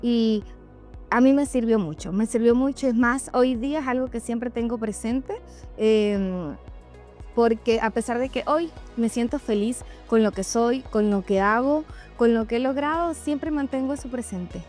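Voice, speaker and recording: Female personal-vlog host, slightly high register, candid and reflective direct address, light music bed. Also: measured pace, darkish tone. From Venezuela. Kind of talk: personal reflective monologue to followers. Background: background music.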